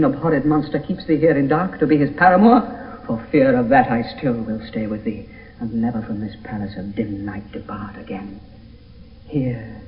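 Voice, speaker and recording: An actor playing a grief-stricken young lover in theatrical, impassioned radio Shakespeare verse. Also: male voice, mid-range voice, distant mic, close to an everyday voice. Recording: muffled, echoey, hissy old radio broadcast.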